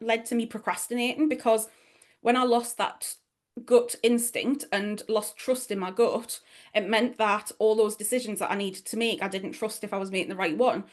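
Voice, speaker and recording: Chatty woman with a strong Geordie accent. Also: medium pitch. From northeast England. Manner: chatty, self-deprecating, many fillers and asides. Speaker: business strategist giving a workshop talk. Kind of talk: presentation talk to an audience.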